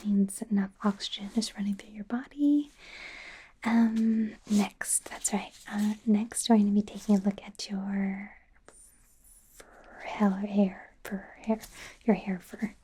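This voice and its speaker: Slow, whispering woman as a timid werewolf doctor. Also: medium pitch, steady tone, slight background noise, close to an everyday voice. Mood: gentle, hesitant. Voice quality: soft, breathy whisper. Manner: hesitant, self-correcting, giggly, reassuring. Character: nervous, gentle female werewolf doctor, eager to please. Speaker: ASMR roleplay performer. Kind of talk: whispered ASMR medical exam roleplay.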